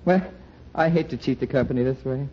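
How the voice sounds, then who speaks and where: A man's voice: mid-range voice, brisk, highs cut off, lightly acted. An actor playing a fussy, persistent insurance salesman in a prim, needling manner, in 1940s radio comedy style. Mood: officious. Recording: old radio recording, loud audience, heavy noise.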